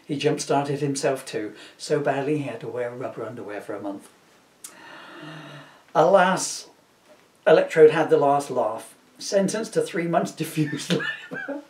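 A middle-aged man narrating a comic storybook at home with casual asides, amused and expressive. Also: fairly high pitch, unhurried, long pauses. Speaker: author reading aloud. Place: small living room at home. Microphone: camera a few metres away. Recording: roomy, slightly distant camera mic.

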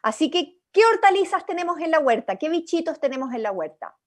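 A young Chilean woman speaks in a high voice as she runs an informal online workshop. She is encouraging and inviting, guiding the group through a video-call microphone. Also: sing-song, fairly quick. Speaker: workshop facilitator. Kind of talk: informal guided workshop talk. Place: indoor room, on a video call. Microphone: video-call computer microphone.

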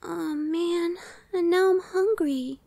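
A woman voicing a timid, anxious young female gargoyle in an ASMR roleplay, soft, girlish, hesitant and stammering. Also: extremely high pitch, takes it slowly, creaky, no room sound, exaggerated voice. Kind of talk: ASMR audio roleplay acting.

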